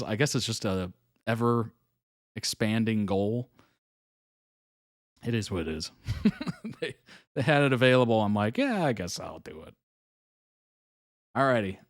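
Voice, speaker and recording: A man's voice: lowish voice, dry recording, clean studio sound. A man hosting a live reaction stream, chatting casually with viewers, laughing often and using lots of fillers.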